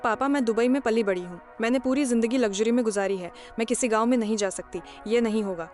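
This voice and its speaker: A woman's voice: on the high side, fast talker, darkish tone, faint music behind, lightly acted. A woman playing a spoiled young rich bride in a cartoon moral story, indignant, whiny and disdainful. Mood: indignant, disbelieving.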